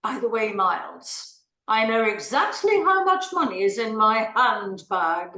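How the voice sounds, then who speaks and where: A woman's voice: a little high, very animated, unhurried, bright, forceful tone, exaggerated voice. A woman playing an imperious, irritable wealthy lady in a play reading, bossy and haughty as she gives orders and complains. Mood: imperious, fed up. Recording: roomy, echoing room.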